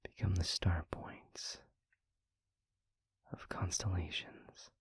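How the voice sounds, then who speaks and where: Guy doing a soft demon boyfriend asmr, super breathy whisper, real close and dry, gentle poetic lover type. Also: sits low, fairly even intonation, no room sound, full character voice. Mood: dreamy, tender. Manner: slow, gentle, formal poetic phrasing. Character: tender, anxious male demon lover, eloquent and devoted. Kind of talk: intimate scripted audio roleplay, ASMR. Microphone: very close microphone, dry.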